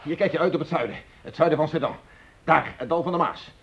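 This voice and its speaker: Stubborn factory owner, raised pitch. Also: male voice, fast talker, vocal fry, slightly rough. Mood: grimly excited. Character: stubborn, belligerent factory owner, a proud married civilian. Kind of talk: Dutch radio drama acting. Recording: muffled, hissy old radio play recording.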